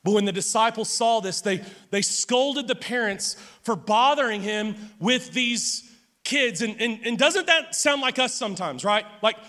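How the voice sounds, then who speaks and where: A man preaching a sermon as pastor, with a loud voice, often stumbling over and repeating his words as he goes. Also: extremely high pitch, measured pace. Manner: stammering restarts, animated and repetitive. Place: church sanctuary. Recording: long hall reverb.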